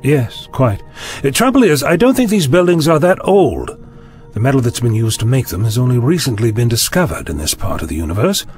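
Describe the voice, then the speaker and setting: A man's voice: medium pitch, very animated, a little fast, darkish tone, faint music behind, close to an everyday voice. A man playing a curious, breezy, slightly fussy adventurer in an audio drama, musing and chatty.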